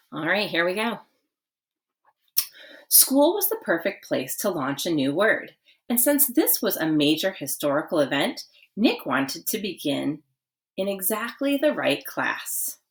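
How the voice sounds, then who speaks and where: Woman doing a kids read-aloud, low voice, swoopy intonation, unhurried with dramatic pauses. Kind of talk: expressive children's story reading.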